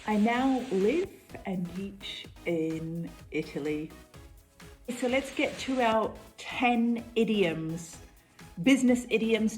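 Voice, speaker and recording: New woman, middle-aged English teacher, unhurried and clear, mid-pitched voice. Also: a little mellow. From New Zealand. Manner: clear, measured explanations with repeated examples. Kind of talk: online English lesson.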